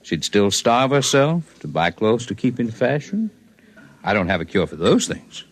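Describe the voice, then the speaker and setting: An actor playing a calm, scholarly alien healer man in a 1950s radio drama, measured and precise in speech. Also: mid-range voice.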